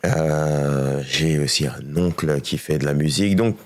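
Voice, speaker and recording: A man's voice: rumbling low, a little slow, a little mellow. A middle-aged man, a musician, answering interview questions in a laid-back way with many fillers. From France. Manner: laid-back, lots of fillers and agreeing "ouais". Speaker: musician guest. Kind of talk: relaxed conversational interview.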